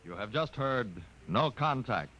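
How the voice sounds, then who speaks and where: A man's voice: medium pitch, expressive, measured pace, over loud music. A man announcing a 1950s radio show in scripted style. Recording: muffled old radio recording.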